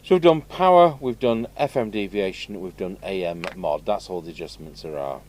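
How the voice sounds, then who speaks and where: A man's voice: sits low, normal speed, a little mellow. A man giving casual hands-on commentary as he works, muttering short remarks to himself. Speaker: radio repair vlogger. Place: indoors at an electronics workbench. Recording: clear background noise from a workshop. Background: background noise.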